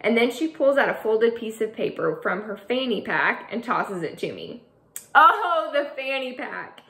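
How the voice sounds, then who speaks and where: Woman reading her kids' book out loud, bright lively voice, echoey room. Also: on the high side, measured pace. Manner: expressive, storytelling read-aloud. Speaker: author. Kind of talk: reading a novel aloud.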